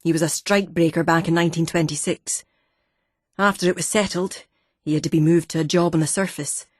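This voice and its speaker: A woman voicing a gruff, wheezy older ex-miner man with a deep, gravelly voice, a Fife accent and a blunt, irritated manner. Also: fairly even intonation, fairly quick, dry recording, clean studio sound. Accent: Fife Scottish.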